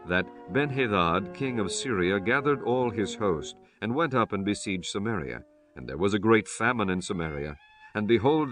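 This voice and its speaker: A man narrating dramatized scripture in a solemn, measured storytelling manner. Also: lowish voice. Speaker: audiobook narrator. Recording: music bed under the voice. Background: music.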